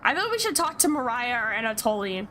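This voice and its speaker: A woman's voice: fairly high pitch, sing-song, brisk, bright, forceful tone, slight background noise. A woman streaming a visual novel, reading aloud and reacting with playful, sarcastic, sweary commentary. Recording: music behind the voice. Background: game music.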